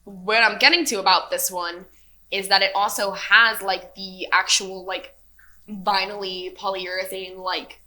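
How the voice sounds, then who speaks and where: A woman's voice: medium pitch, steady tone, measured pace, sharp tone, low-quality recording. A young woman in casual podcast chat, telling stories with frequent laughs and plenty of swearing. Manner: laughing, profane storyteller.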